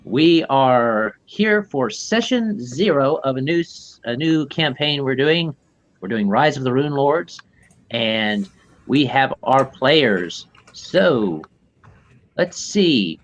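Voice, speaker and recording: A man's voice: mid-range voice, expressive. A man acting as game master, talking casually and correcting himself as he goes. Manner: casual, halting, self-correcting. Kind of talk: running a tabletop game session.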